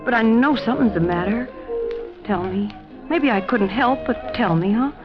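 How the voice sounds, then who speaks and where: A woman's voice: on the high side, normal speed, natural voice. An actress playing a tender young chorus girl with naive, slangy talk in a 1940s radio drama. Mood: tender, concerned. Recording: dull old 1940s radio recording, music.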